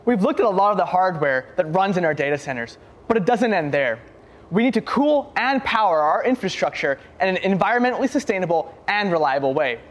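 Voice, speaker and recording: A man's voice: high-pitched, lively intonation, brisk. A man hosting a scripted guided tour, speaking in an upbeat, presenter-like way. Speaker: tour host.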